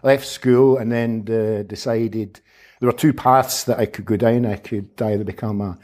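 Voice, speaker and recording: A man, a humanitarian aid worker giving measured, thoughtful answers as an interview guest. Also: on the low side, steady tone, darkish tone. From Scotland. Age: 50s. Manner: measured, thoughtful, qualifies his words. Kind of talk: reflective interview conversation.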